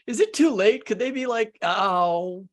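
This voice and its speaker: A man's voice: high voice, lively intonation, takes it slowly. A man co-hosting a podcast, giving opinions in casual, informal short bursts. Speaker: podcast host.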